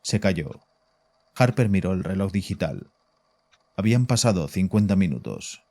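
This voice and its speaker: A man's voice: sits low, expressive, moderate pace, a little mellow. A man narrating a scripted horror story as the narrator of an audio drama. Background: faint music.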